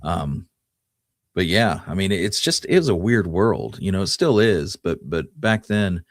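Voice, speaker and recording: A man's voice: sits low, animated, unhurried, no room sound, studio quality. A man hosting a talk show, telling history in a casual, conversational way and explaining it in detail. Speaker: podcast host.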